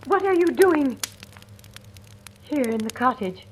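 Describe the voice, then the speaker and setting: A woman's voice: high voice, animated, takes it slowly. An actress playing a blunt, bitter, resigned household woman in old-time radio drama style. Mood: accusing. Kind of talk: 1940s-50s radio drama acting. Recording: old radio recording, faint noise.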